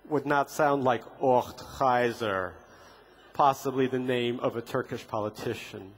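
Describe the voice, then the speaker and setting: A man's voice: mid-range voice, husky. A man reading aloud from his own memoir at a literature festival, with a dry, ironic delivery and comic timing. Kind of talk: reading aloud from a memoir, wry deadpan humor. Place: festival stage before an audience.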